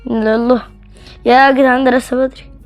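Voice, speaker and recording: A woman's voice: high-pitched, level tone, fast talker, faint music behind. A female gamer with an Egyptian Arabic accent bantering casually as a co-host, playful and teasing. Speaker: gaming video co-host.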